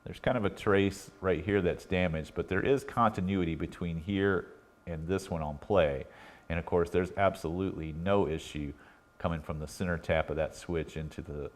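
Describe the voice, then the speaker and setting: A middle-aged American man giving a casual, hands-on repair walkthrough in a folksy, unhurried way with halting pauses. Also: sits low. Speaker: electronics repair technician and video host. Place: indoor workbench.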